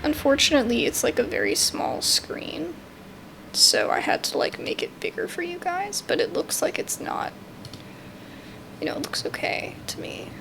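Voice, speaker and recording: A woman's voice: high-pitched, wildly swooping pitch, normal speed, gravelly, background noise. A woman giving casual let's play commentary, thinking aloud in a rambling, hesitant way. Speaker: gaming video host.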